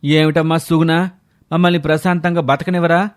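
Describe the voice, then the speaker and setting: An aggrieved village neighbour performed by a man in a moral-story drama. He is sarcastic and accusing, with a slightly high male voice. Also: flat delivery, moderate pace, natural voice. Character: indignant village neighbour blaming another family.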